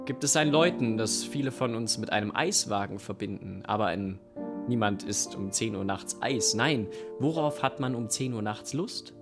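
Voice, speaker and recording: A man, a young adult, narrating an improvised radio play on stage into a microphone. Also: mid-range voice. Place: dim theatre stage. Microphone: stand microphone close in front. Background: live guitar music.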